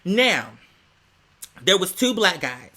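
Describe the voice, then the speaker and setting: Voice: medium pitch, very animated, takes it slowly, sharp tone. A vlog host telling a personal story in a sassy, emphatic, slangy way, theatrically acting out the dialogue. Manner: sassy, slangy, emphatic, peppering talk with "girl"; theatrical, acts out quoted dialogue. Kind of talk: animated gossipy storytelling vlog.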